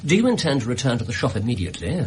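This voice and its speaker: An actor playing a brilliant, brisk Victorian English gentleman detective in a British radio drama, precise and theatrical in manner. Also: medium pitch, sing-song, breathy edge. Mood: brisk, commanding.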